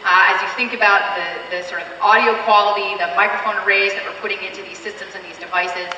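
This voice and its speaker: A woman's voice: medium pitch, steady tone, fast, sharp tone, slight background noise. A woman with a Canadian accent giving an upbeat, chatty live product demo on a convention stage, with frequent fillers. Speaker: keynote presenter. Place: large convention hall stage. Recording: distant mic in echoey hall.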